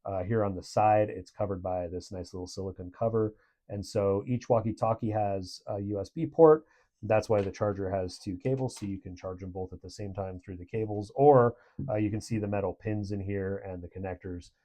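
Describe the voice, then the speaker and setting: A man's voice: fairly low pitch, steady tone, moderate pace, warm tone. A man giving a casual product review in a conversational, approving way.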